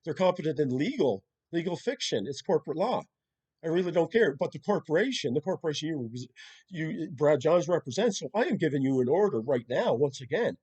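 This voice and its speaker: A man's voice: on the high side, animated, a little fast, a little mellow, slightly rough. A man arguing as a caller in an insistent, repetitive and combative manner, full of legal jargon. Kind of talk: confrontational phone argument with legal jargon.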